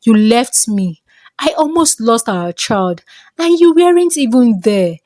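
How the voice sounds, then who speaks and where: A woman's voice: fairly high pitch, very animated, unhurried, dark tone, no room sound, studio quality. A woman with a Nigerian accent voicing an emotional, weary young pregnant wife who pleads, in a folktale. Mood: hurt, accusing.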